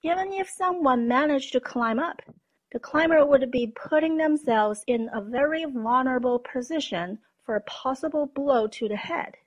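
Higher-pitched woman narrating a documentary. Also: poor audio. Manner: measured, explanatory. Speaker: presenter.

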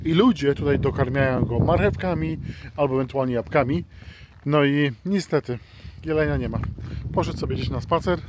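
A man's voice: on the high side, normal speed. A man presenting casually as a travel vlogger, explaining things to viewers and repeating phrases for emphasis. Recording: outdoor wind and traffic noise.

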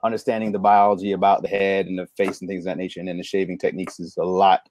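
A middle-aged man, a barber guest, chatting casually on a video call and telling stories with lively acted-out quotes. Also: low voice, racing. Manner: animated storyteller, acts out quoted lines. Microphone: video call mic.

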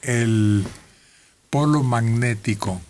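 An older man from Mexico reading news notes slowly at a radio studio table. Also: mid-range voice, darkish tone. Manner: slow, deliberate reading from paper. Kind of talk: reading news items on air. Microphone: desk microphone.

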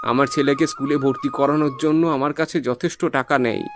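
A man's voice: medium pitch, steady tone, a little fast, noisy background, natural voice. A man playing a poor, honest boatman and father in a cartoon fairy tale, speaking in an earnest, plaintive way. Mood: sad, plaintive.

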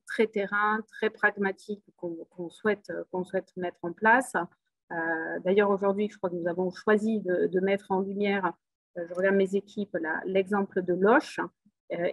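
Southern-accented Frenchwoman, halting webinar talk. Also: medium pitch, no echo, low-quality recording. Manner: many hesitations and repeated words, earnest and upbeat. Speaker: rail company executive speaking at a webinar. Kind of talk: spontaneous webinar speech. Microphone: video call.

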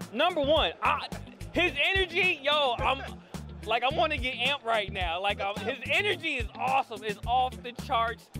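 A man in his thirties hosting a casual convention interview into a handheld mic, slangy and animated, repeating phrases for emphasis. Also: very high voice. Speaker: show host interviewer. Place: indoor convention hall booth. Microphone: handheld microphone.

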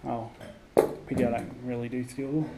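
A man's voice: medium pitch, level tone, a little fast, creaky. A man giving casual running commentary as he explores an abandoned building, remarking on everything he finds. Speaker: urban explorer vlogger. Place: abandoned indoor campus building. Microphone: head-mounted action camera. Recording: noisy handheld camera audio.

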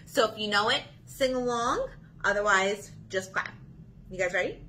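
A woman in her thirties leading a children's story time, reading aloud in an animated, sing-song way to young listeners. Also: mid-range voice, normal speed, a little hiss or hum. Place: small indoor room.

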